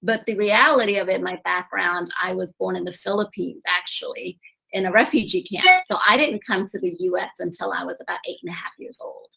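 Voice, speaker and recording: A woman guest on a podcast, telling her life story in a chatty, animated way and laughing often. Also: fairly low pitch, a little fast, creaky, slightly rough, poor audio. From grew up in New Orleans, USA. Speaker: podcast guest, eye doctor and real estate investor. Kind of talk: casual interview storytelling.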